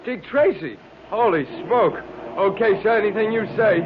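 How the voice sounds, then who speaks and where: A deferential working-class cab driver in radio drama. His voice is high and nervous, full of folksy slang. It comes through a thin, clearly noisy old recording. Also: animated, normal speed. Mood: wary, then awed. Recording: noisy narrow-band old radio, car sound.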